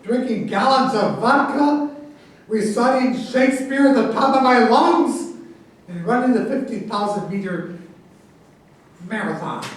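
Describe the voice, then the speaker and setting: Prickly officer-professor acted by a man, high-pitched, grandiose and quarrelsome; stage reading in a very echoey room. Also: distant mic. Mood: exasperated. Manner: argumentative, grandiose. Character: prickly, self-important military officer and professor. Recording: very echoey room recorded from afar.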